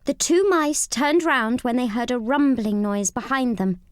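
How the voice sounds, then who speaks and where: A woman narrating a children's storybook read-along in an expressive, scripted style. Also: fairly high pitch, measured pace, smooth, no room sound, clean studio sound.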